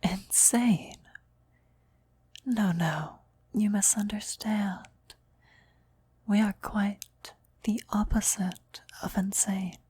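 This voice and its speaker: A devoted female cultist acted by a woman for an ASMR roleplay, whispering with an extremely breathy voice. She is slow, deliberate and eerily reverent toward her demon queen. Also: medium pitch, fairly even intonation, dark tone, no echo, full character voice. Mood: serene, fanatical. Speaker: voice actor in an audio roleplay.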